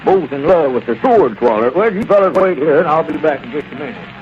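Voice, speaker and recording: An actor playing a plain-spoken country friend with chuckling asides in a 1930s radio comedy. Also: male voice, on the high side, animated, brisk, a little hiss or hum, lightly acted. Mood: friendly. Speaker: townsman Dick. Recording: lo-fi old radio broadcast.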